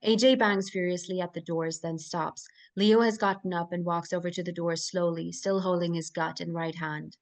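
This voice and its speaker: A woman's voice: lowish voice, fairly even intonation, moderate pace, hardly any pauses. A woman narrating scripted screenplay action lines as the reader at a table read. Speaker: stage-direction reader.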